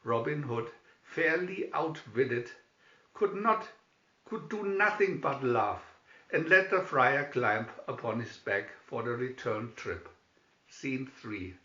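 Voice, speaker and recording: Guy narrating an adventure storybook, theatrical, really swoopy pitch, bit roomy. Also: lively intonation, takes it slowly, bright, forceful tone. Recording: slightly dull recording in echoey room.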